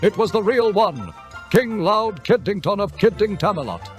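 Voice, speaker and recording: A man's voice: high-pitched, very animated, moderate pace, faint music behind. A man playing a pompous cartoon history presenter who declaims dramatically. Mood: emphatic.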